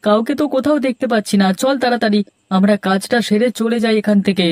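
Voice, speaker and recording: A man's voice: very high voice, fast, darkish tone, no room sound. A man voicing a cowardly petty chicken thief in a cartoon, hasty and panicky. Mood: furtive. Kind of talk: Bengali moral-story cartoon dubbing.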